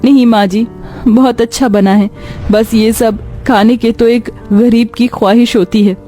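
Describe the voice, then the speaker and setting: A woman voicing a gentle, humble, grateful young bride in a cartoon story, soft-spoken and reverent. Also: fairly high pitch, steady tone, a little slow, soft background music, natural voice. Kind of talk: Hindi cartoon story dialogue.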